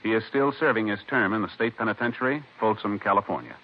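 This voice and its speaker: Low, formal male radio announcer. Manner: measured, official. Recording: muffled old radio broadcast. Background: music.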